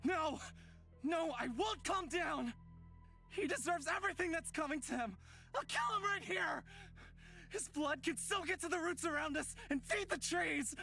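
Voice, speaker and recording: A woman's voice: very high-pitched, a little slow, creaky, pushed and bright, faint music behind, full character voice. A woman playing a hot-headed, spiteful young fantasy character in a visual novel, with an intense, dramatic delivery. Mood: enraged.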